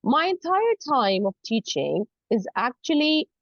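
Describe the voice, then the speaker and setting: A woman's voice: sits high, sing-song, measured pace, no room sound, lo-fi sound. A woman from Saudi Arabia who speaks English as an additional language, answering conversationally as an interview guest and often saying "you know" as she explains earnestly. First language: not English. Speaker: guest, university lecturer in academic English.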